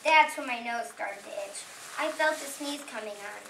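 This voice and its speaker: A woman's voice: on the high side, moderate pace, creaky, a bit bright. A woman playing an aggrieved, cheeky storybook wolf who tells the tale defensively in a readers' theater reading. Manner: defensive, self-justifying storytelling. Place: library room, distant microphone. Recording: echoey, noisy room from far off.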